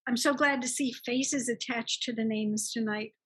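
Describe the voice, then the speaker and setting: A woman's voice: fairly high pitch, steady tone, normal speed, fairly bright tone, breathy edge. A woman chatting casually and asking questions as a participant in an online video meeting. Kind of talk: casual group chat. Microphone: video call.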